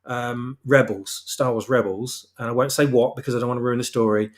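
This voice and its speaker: A man's voice: medium pitch, steady tone, a little fast. A man giving emphatic opinions in a casual discussion, often repeating his phrases for weight. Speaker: guest, fan-fiction writer.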